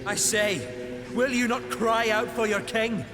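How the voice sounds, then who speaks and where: A man's voice: high voice, fairly even intonation, measured pace. An actor playing an indignant, ambitious usurper king in a dramatic audio drama. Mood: imperious outrage. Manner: imperious, indignant. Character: ambitious, enraged young usurper king, hungry for obedience. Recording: loud music under the voice.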